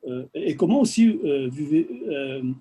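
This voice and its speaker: A man interviewing an author, asking his questions haltingly with many pauses. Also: mid-range voice, animated, crowd noise.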